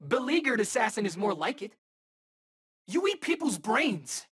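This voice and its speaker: An actor playing a cocky, eager young rookie superhero in a video game, bantering in casual, wisecracking slang. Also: male voice, high voice, big pitch swings, normal speed, a bit bright, husky, full character voice. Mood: cocky, teasing. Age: young adult.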